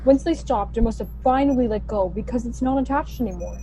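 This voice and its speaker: A woman's voice: a little high, a little fast, mellow, a little hiss or hum, lightly acted, rough recording. A woman playing a technically minded young colonist in a sci-fi radio play, explaining things matter-of-factly. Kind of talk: science fiction radio play acting.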